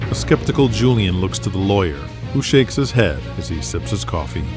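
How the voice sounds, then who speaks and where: A man's voice: sits low, very animated, brisk. A man narrating an audio drama in a dramatic scripted style. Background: music.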